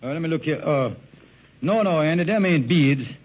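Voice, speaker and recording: An actor playing a scheming, smooth-talking lodge con man in an old radio sitcom, wheedling and persuasive in folksy, ungrammatical speech. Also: on the high side, expressive, moderate pace. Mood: wheedling persuasion. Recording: muffled vintage radio recording.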